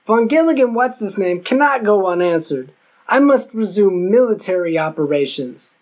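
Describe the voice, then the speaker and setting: A man's voice: sits high, expressive, normal speed, a little mellow. An audiobook character, a huge eccentric man who fancies himself a commander as a male narrator plays him. He speaks pompously and stays unruffled. The sound is a little dull and lo-fi. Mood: grandiose. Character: eccentric, huge, unstoppable nutcase man, grandiose self-styled commander.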